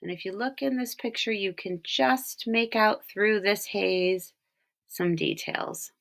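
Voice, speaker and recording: A woman reading a children's story aloud expressively, with deliberate emphasis on key words. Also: mid-range voice, vocal fry, a bit bright. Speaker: storybook reader, teacher reading for home learning.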